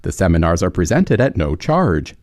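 A low-voiced radio announcer reads a sponsor message crisply, his pitch rising and falling a lot. The sound is dry and close. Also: big pitch swings, normal speed, a little mellow, no echo. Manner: crisp, read aloud. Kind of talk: scripted sponsor read.

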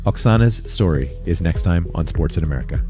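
A man hosting a sports podcast, reading the show's narration and credits in a lively, conversational way. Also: deep voice, brisk, soft background music. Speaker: podcast host. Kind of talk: scripted show narration and credits.

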